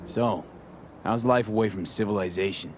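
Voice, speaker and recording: A man's voice: on the low side, moderate pace, a little hiss or hum, lightly acted. A man playing a brooding but sincere streetwise mercenary in a video game, speaking in a casual, clipped, earnest way. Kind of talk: naturalistic video game voice acting.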